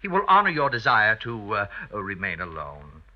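An actor playing an oily, scheming, falsely deferential family friend in an old-time radio drama, with theatrical, unctuous hesitations. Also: male voice, on the low side, wildly swooping pitch, moderate pace. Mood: insinuating. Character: oily, scheming, obsequious male friend of the husband. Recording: dull old radio recording.

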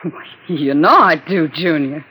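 A woman's voice: deep voice, a little slow, sharp tone. An actress in an old-time radio drama playing an uneasy, evasive woman who speaks hesitantly. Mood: guarded. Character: uneasy evasive woman, a hard man's lover. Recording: noisy old radio recording.

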